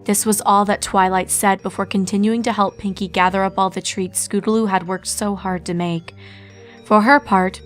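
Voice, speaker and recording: A woman reading a fan story aloud in a steady, measured narration. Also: medium pitch. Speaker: reader.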